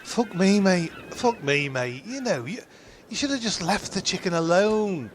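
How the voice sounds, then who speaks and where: A man's voice: high-pitched, very animated, unhurried, background noise. A man playing a matey, sweary, exasperated castaway in a comic radio play.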